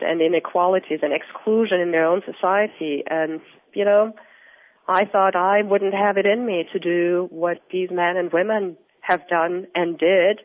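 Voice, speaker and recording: A woman from Austria with an Austrian accent, a researcher answering interview questions in an earnest, explanatory, measured way. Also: fairly low pitch. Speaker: researcher and guest. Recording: tinny phone-line audio.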